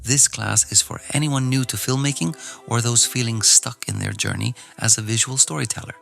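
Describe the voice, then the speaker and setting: A man from Iceland teaching an online filmmaking class in a relaxed, gently encouraging way, with some fillers when he talks off the cuff. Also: medium pitch, normal speed, vocal fry. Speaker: film teacher. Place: outdoors in nature. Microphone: phone recording nearby.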